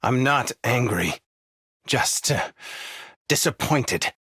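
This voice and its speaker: Husky, contemptuous game villain overseer. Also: male voice, medium pitch, lively intonation, takes it slowly, fairly bright tone, no echo, clean studio sound, full character voice. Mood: cold disappointment. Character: contemptuous, bitterly disappointed villainous male overseer. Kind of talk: scripted video game character acting.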